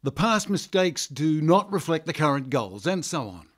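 An actor playing a smooth, manipulative party handler who talks glibly and persuasively. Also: male voice, fairly high pitch, sing-song, normal speed. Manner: persuasive, glib.

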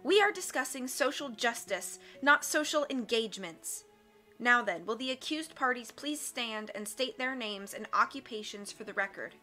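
A stern, measured judge presides over a courtroom, as a woman reading aloud puts her on. She talks formally and firmly, with a bright, clear edge to a fairly high voice. Faint music plays under the audiobook. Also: expressive.

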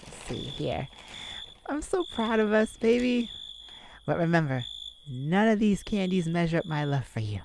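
Casting: woman as giddy, affectionate young boyfriend, ASMR roleplay. Unhurried, very animated delivery, cutesy and childlike. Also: on the low side, background noise. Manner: giggly, cutesy, playful. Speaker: audio roleplay performer.

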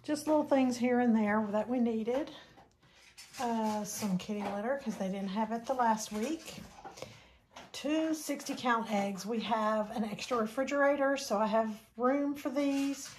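Chatty woman with a fairly high voice. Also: measured pace, a little mellow, slight background noise, low-quality recording. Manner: chatty, rambling, with ums and self-corrections. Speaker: vlogger. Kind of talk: casual grocery haul chat. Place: home kitchen, indoors.

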